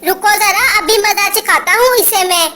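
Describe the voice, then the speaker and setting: A woman's voice: very high-pitched, measured pace, sharp tone, heavily acted. A woman voicing a small sparrow neighbour in a children's cartoon story, with a childlike, simple delivery. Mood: suspicious. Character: friendly sparrow neighbour who grows suspicious, small bird.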